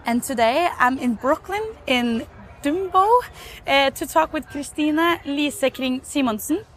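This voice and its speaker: A woman with a fairly high voice hosts an interview podcast. She presents and questions her guest in the role of interviewer. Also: measured pace.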